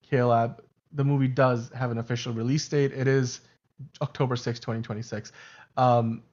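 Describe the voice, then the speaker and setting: A man's voice: medium pitch, dull audio. A man whose first language is Urdu, co-hosting a casual livestream chat, talking in a chatty way, often correcting himself and laughing. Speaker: podcast co-host.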